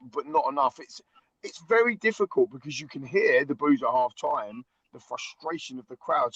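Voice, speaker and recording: A man who is a season-ticket-holding football fan, hoarse from singing, talking excitedly in a casual podcast chat and tripping over quick restarts. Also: fairly high pitch, no echo. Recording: dull lo-fi dry sound.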